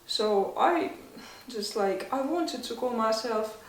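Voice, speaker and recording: A woman's voice: a little high, lively intonation, slow. A woman in her twenties with a Russian accent, answering interview questions in a hesitant, thoughtful way, laughing often. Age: 20s. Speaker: interview guest. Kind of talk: casual interview. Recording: echoey room, mic at a distance.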